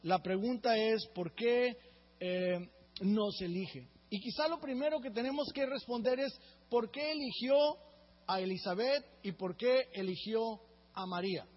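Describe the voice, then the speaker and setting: Pastor preaching, kinda husky voice, muffled lo-fi sound. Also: male voice, high-pitched, unhurried, in a large hall. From Mexico. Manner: earnest preaching, often asks the congregation "right?". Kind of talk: sermon.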